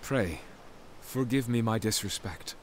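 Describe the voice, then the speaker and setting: A man's voice: fairly low pitch, a little slow, slight background noise, natural voice. A man playing a proud, dutiful knight captain in a video game, speaking in a grave and formal manner. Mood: formal, restrained. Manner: formal, grave, deferential yet reproachful. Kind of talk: dramatic video game cutscene acting. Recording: music behind the voice.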